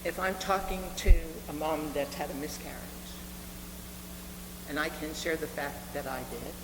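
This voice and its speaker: Echoey low-voiced older woman preaching. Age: 70s. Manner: earnest, deliberate, with repeated phrases for emphasis. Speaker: reverend preaching to a congregation. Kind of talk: sermon. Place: reverberant meeting hall with a congregation. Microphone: live speaking microphone. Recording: echoey hall, noisy room with hum.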